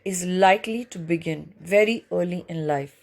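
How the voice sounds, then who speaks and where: A woman's voice: fairly low pitch, moderate pace, gravelly. A woman reading a book chapter aloud as scripted audiobook narration.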